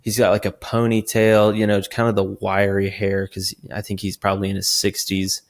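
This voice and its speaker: Low-voiced laid-back male co-host. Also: moderate pace. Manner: relaxed storyteller, many ums and likes, dry humor. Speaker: podcast co-host. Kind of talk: casual chat.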